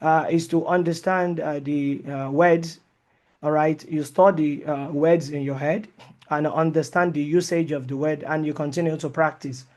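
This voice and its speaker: A man's voice: on the high side, fairly even intonation, normal speed, a little mellow. A man teaching an online Sharia lesson, who talks with many fillers, mixes in Arabic terms and speaks English as a second language. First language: not English. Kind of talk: online lecture with live Q&A.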